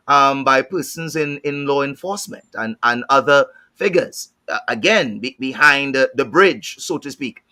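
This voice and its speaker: A man's voice: a little high, bright, forceful tone. A man with a Trinidadian accent speaking on a panel discussion, thoughtful, often repeating words while he searches for the next thought. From Trinidad and Tobago. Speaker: panel guest.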